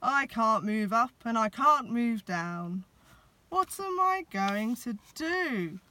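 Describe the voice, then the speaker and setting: Woman putting on a loud, growly, gruff Santa. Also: on the high side, expressive, takes it slowly, no echo, full character voice. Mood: flustered. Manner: loud, bellowing, emphatic. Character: big gruff old Santa Claus, exasperated, stuck in chimney. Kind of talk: children's storybook read-aloud.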